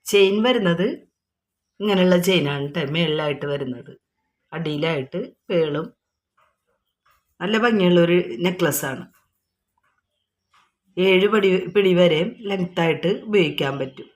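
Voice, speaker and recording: A woman's voice: lowish voice, unhurried, long pauses. A woman with a Kerala accent informally showing products item by item, explaining each and repeating prices in an unscripted, repetitive way.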